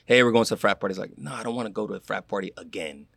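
A young man from Florida chats in a casual interview, his voice somewhat low and the sound dry and close. He tells his stories with energy, slipping into other people's voices as he goes, and laughs easily. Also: rapid-fire, vocal fry, slightly rough, no room sound. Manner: animated storyteller, acts out quotes, laughs. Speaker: guest, former college athlete.

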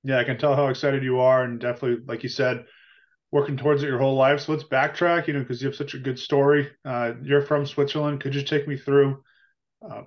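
A man's voice: medium pitch, lo-fi sound. A man hosting a podcast, asking a guest friendly, encouraging questions. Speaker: podcast host. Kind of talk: interview questions.

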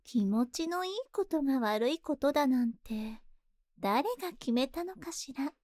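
A woman's voice: high voice, expressive, a little slow, a little mellow, no room sound, clean studio sound. A woman playing a seductive, possessive spider monster in a Japanese ASMR voice drama, tender and coaxing, lingering on her words. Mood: soothing, hypnotic. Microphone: close ASMR microphone in a dry studio.

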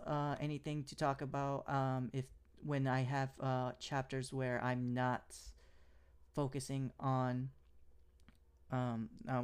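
A man's voice: mid-range voice, a little mellow, clear, resonant tone. A man hosting his own podcast, talking casually with lots of ums and restarts as he recaps and gives his views. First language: English. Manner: casual, many fillers and restarts. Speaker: podcast host. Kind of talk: solo podcast recap and analysis.

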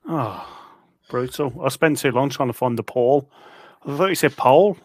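A man's voice: medium pitch, moderate pace, darkish tone, no room sound, clean studio sound. A man co-hosting a casual podcast, reading out quiz questions and laughing at himself. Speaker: podcast co-host running the quiz.

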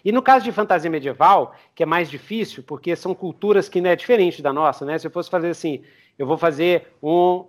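A Brazilian man with a high-set voice hosts a casual podcast conversation. He feels his way through explanations, restarting and doubling words as he talks. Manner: halting, repeats words while explaining. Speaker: podcast host. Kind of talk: casual interview chat.

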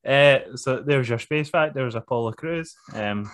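A man co-hosts a podcast in relaxed, casual chat. His voice sits at a middle pitch. His delivery is dry, and he chuckles as he reads out odd trivia. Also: normal speed. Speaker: podcast co-host.

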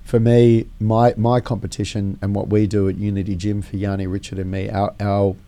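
A middle-aged man who has lost his voice hosts a gym podcast, speaking quietly and rambling with many fillers and restarts. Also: on the low side, little pitch movement, moderate pace. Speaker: gym co-founder and podcast host. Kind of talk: casual podcast talk.

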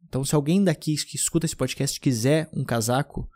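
A man's voice: fairly high pitch, brisk, a little mellow. A young man from southern Brazil hosting a podcast, telling loose, rambling anecdotes in casual chat with frequent restarts. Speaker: podcast host.

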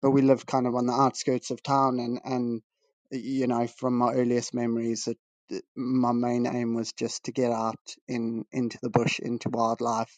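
Flat-voiced man from Zimbabwe on a call. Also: mid-range voice, moderate pace, dry recording. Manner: rambling, self-restarting, modest. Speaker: guest artist. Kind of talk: casual video-call interview. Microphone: video call over a weak connection.

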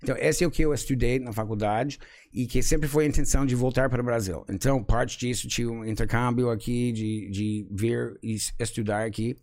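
A man's voice: mid-range voice, a little fast. American man chatting in Portuguese. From United States. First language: English. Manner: relaxed, frequent "sabe?" tags, laughs often. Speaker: guest. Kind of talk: casual conversation.

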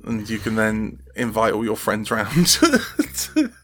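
A man being interviewed as a guest audio drama creator, chatting casually and thinking aloud in a halting way. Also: on the low side, wildly swooping pitch, a bit bright, slight background noise.

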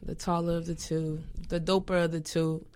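A young woman co-hosting a casual chat, animated and often breaking into laughter as she mimics other people. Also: fairly low pitch, normal speed. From Haiti. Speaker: podcast co-host.

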